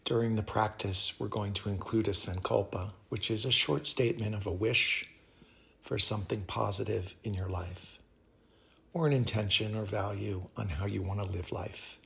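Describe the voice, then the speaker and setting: A man guides a meditation in a low voice with a creaky edge and a bright, crisp-edged tone. His delivery is calm and soothing. He speaks in short, measured phrases and leaves space between them. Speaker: yoga teacher guiding meditation.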